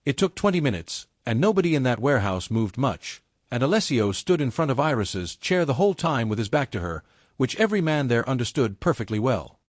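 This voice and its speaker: A man's voice: mid-range voice, sing-song, fairly quick, no echo. A man narrates dramatic fiction in the manner of an audiobook. His voice moves expressively up and down, and the sound is very dry, with no room on it.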